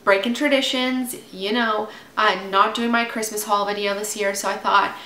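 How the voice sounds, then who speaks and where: A woman's voice: medium pitch, steady tone, normal speed, sharp tone. A young woman in her twenties with a congested cold, chatting casually to camera about books, rambling with lots of asides. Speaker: book vlogger. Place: at home, indoors. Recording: echoey room with faint background noise.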